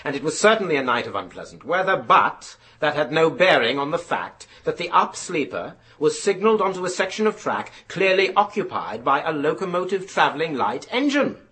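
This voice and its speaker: An actor playing a stern, relentless inquiry official in a radio drama, formal, pressing and rhetorical. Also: male voice, a little high, big pitch swings, fairly quick, hardly any pauses, sharp tone. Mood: probing, insistent. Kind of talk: formal radio drama courtroom-style acting.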